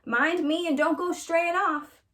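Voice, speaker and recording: A woman's voice: extremely high pitch, fairly even intonation, measured pace, sharp tone, voice pushed up, exaggerated voice. A woman reading a storybook as a protective country grandmother, scolding in a folksy way. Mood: shouting warning.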